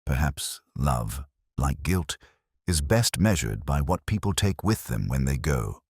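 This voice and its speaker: Guy doing a really deep melancholy british inspector telling his case, measured, literary, close dry sound. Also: big pitch swings, breathy edge, no room sound, heavily acted. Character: world-weary, melancholy British male police inspector, middle-aged or older. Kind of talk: scripted first-person detective audio drama narration.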